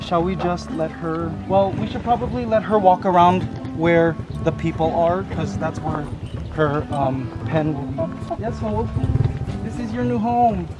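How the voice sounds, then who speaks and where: A man in his thirties vlogging on a farm, excitable and chatty, cooing at a chicken in baby talk from a handheld camera. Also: sits high, measured pace, music in the background, rough recording. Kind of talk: casual vlog. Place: outdoors on a farm.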